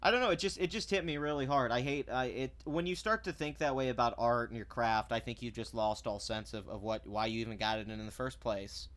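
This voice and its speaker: Guy ranting into his cam, sarcastic and cussing, keeps restarting his sentences, normal pitched voice. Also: brisk, few pauses, fairly bright tone. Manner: sarcastic, blunt, crude; stumbling restarts when heated. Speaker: commentary vlogger. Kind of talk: ranting commentary.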